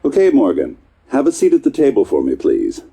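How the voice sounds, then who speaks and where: Video game scientist: dark, husky timbre, lively pitch, chatty, patronising encouragement to a test subject. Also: male voice, fairly quick, slight background noise. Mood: breezy, encouraging. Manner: patronising, encouraging, hesitant asides. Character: chatty, distracted male scientist running tests on a subject. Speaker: test supervisor. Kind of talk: game voice acting.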